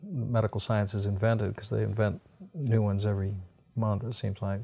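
A man's voice: on the low side, fairly even intonation, normal speed, vocal fry, dark tone, slightly rough. A middle-aged American man giving conversational health advice in a folksy, explanatory way. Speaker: health doctor and program host. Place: indoors on a sofa in a book-lined room.

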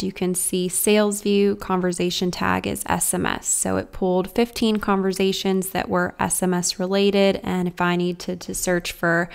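A woman presenting a software tutorial in an informal, explanatory way, like a casual guided walkthrough. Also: mid-range voice, vocal fry, clean tone. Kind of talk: casual scripted screen-share walkthrough.

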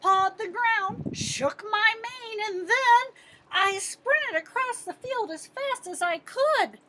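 Middle-aged American woman, high-pitched, unhurried, expressive storytime teacher, emphatic questions to young listeners. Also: a little hiss or hum. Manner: expressive, emphatic, asks and answers own questions. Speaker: teacher-librarian reading to children. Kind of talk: animated picture-book read-aloud with questions to young listeners.

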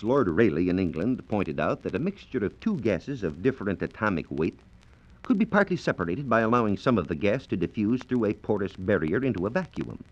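A man's voice: on the low side, a little mellow. A man with an American accent presenting a formal, carefully phrased scripted science lecture in 1940s radio style. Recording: dull lo-fi 1940s radio transcription disc.

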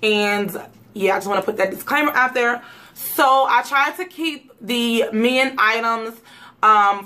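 A woman in her thirties, hoarse from a cold, chatting casually to camera in her bedroom about gift ideas, rambling with lots of "um" and "like". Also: a little high, pushed and bright. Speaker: YouTube vlogger. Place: bedroom at home. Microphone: camera mic, seated facing camera.

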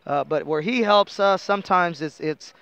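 A man's voice: sits high, moderate pace, a bit bright, clear, resonant tone. A middle-aged American man, a basketball coach explaining things conversationally in a postgame interview on a headset mic. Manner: conversational, explanatory, frequent "you know". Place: indoor interview table at a sports arena.

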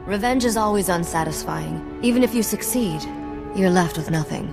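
A woman's voice: mid-range voice, moderate pace, gravelly, over music, natural voice. An actress playing a world-weary female crew member in a video game, plain and sober. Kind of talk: video game dialogue acting.

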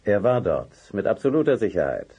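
An actor playing a courteous, earnest middle-aged businessman in a German children's radio play, husky and formal, with emphatic delivery. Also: lowish voice, big pitch swings, brisk, dark tone, muffled recording. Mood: earnest, defensive.

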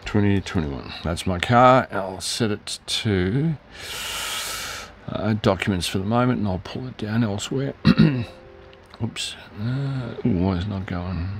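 Hoarse aussie guy, kinda low voice, rambly with lots of ums, showing off gear at his desk. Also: a little slow, a little hiss or hum. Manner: rambling, many fillers, self-corrections. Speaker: hobby shop owner. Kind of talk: casual product demo. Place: hobby shop, shelves behind.

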